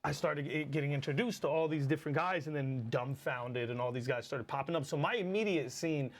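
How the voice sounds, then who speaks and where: A man in his forties from Lebanon with a Lebanese accent, telling stories in a casual chat, animated and full of swearing and fillers. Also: a little high, brisk. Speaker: battle rapper guest.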